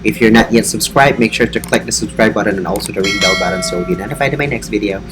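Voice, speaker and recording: A man's voice: lowish voice, quick pace, loud music behind the voice. A man with a Filipino accent, an online English teacher talking earnestly and encouragingly about his lessons. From Philippines. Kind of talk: casual teaching vlog.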